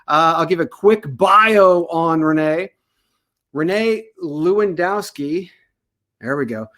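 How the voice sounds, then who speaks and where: A man from the United States hosts an online panel in a casual, joking way. His voice sits a little higher than most men's. He speaks loosely and with energy, often with a grin in his tone. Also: lively intonation, slow, pushed and bright. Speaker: host.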